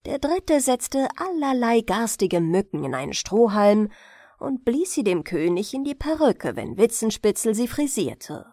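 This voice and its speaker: A woman narrating a bedtime fairy tale as an audiobook storyteller, expressive and unhurried, close to the microphone. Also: on the high side, no room sound.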